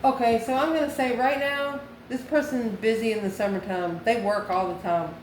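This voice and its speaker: A woman's voice: a little high, steady tone, moderate pace. A woman giving an intuitive tarot reading to the viewer, musing aloud in short, repeated phrases and questions. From United States. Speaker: tarot reader, channel host. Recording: echoey room with clear background noise.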